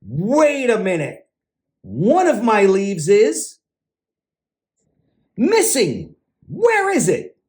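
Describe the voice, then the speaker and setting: A man putting on an anxious, excitable squirrel with wild pitch swings. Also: drawn-out words, long pauses, full character voice. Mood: alarmed. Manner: fretful, dramatic exclamations. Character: anxious, excitable squirrel fretting over stolen leaves. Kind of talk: children's picture book read-aloud.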